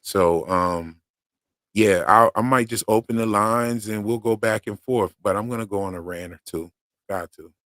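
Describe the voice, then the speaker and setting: A man hosting a live stream, chatting casually with slang and long rambles, his voice going hoarse. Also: lowish voice, moderate pace, a bit bright, dry recording, studio quality. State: losing his voice. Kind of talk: casual fan commentary.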